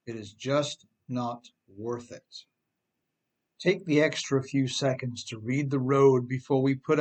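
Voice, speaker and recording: A man reading scripted instructional narration as the author, addressing listeners directly and with emphasis. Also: medium pitch. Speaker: author reading own advice book on driving.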